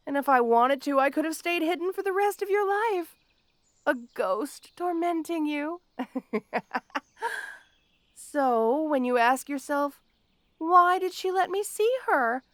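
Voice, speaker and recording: High-voiced, gloating female supervillain. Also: no room sound. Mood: smug gloating. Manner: taunting, mock-sweet, drawn-out teasing delivery. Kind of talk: theatrical villain monologue, audio roleplay.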